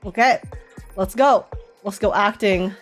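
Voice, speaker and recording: A woman's voice: mid-range voice, a little slow. A woman live-streaming a game with casual, playful, slangy reactions to what happens. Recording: faint game music behind.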